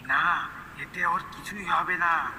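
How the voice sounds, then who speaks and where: A man voicing a wise old forest mystic in a cartoon, explaining with deliberate authority. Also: fairly high pitch, pushed and bright, slight background noise, full character voice, rough recording. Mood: calm, instructive. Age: older. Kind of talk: cartoon character voice acting.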